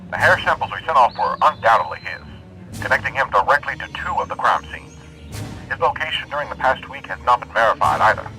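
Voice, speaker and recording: A man playing a brisk, authoritative police sergeant in an amateur radio drama, forceful and businesslike. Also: medium pitch, sing-song, full character voice, rough recording. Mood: brisk, urgent.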